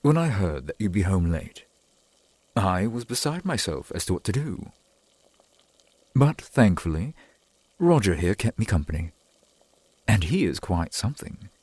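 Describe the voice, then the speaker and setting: A man acts out a doting, earnest vampire gentleman in an intimate ASMR roleplay. His low, breathy voice swings widely in pitch as he speaks in formal, old-fashioned phrases. He takes his time, halting here and there, eager to please. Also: no echo, heavily acted. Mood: admiring, delighted.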